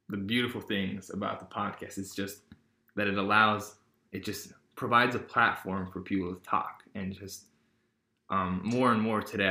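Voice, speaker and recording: A man's voice: on the low side, sharp tone, echoey room. A teenage boy hosting a casual podcast, talking in a rambling way with lots of fillers and restarts. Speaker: podcast host.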